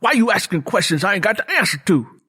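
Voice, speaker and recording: Audiobook role: rough, poor country young man, voiced by a male narrator, cocky and short-tempered, folksy grammar, lively high-set delivery. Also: fast, heavily acted. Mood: irritated. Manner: folksy rural vernacular, double negatives. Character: rough young rural laborer, poor, defiant, quick-tempered, hard-drinking. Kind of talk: audiobook character dialogue.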